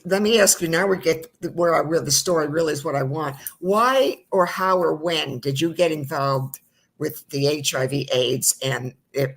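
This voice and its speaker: A woman in her seventies hosting an interview, asking rambling questions with many restarts. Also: low voice. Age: 70s. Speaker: host.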